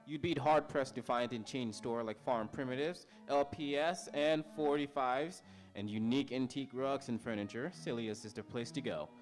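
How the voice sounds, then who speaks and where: A man reading a scripted radio sponsor advertisement as announcer, occasionally stumbling over the copy. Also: medium pitch. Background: music.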